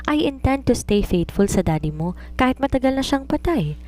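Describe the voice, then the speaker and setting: A woman's voice: high voice, very animated, a little fast, darkish tone, voice pushed up. A woman voicing a doting, nagging widowed mother and businesswoman, mixing Tagalog and English in an affectionate scolding way. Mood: stern, scolding. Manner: Taglish, scolding yet affectionate.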